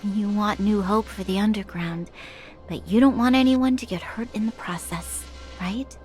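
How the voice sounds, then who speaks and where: A woman voicing a composed, sincere young noblewoman in a video game, measured and earnest. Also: medium pitch, a little mellow, faint music behind, natural voice. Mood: gentle, earnest.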